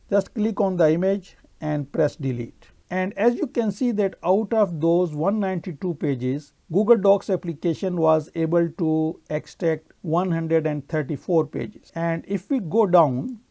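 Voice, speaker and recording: A man whose first language is Urdu, narrating a screen-recorded software tutorial and explaining each step in turn. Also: high-pitched.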